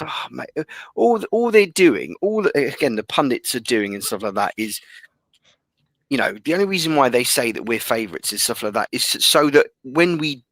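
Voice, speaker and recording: A man's voice: mid-range voice, fairly bright tone, no room sound. A man co-hosting a football podcast, rambling with lots of fillers in casual chat. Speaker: podcast co-host.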